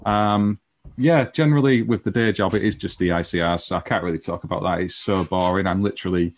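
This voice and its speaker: A man's voice: deep voice, quick pace, slight background noise. A man, an electrical contractor, talking in a matter-of-fact way and grumbling about his workload in a casual chat. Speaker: electrical contractor, podcast guest.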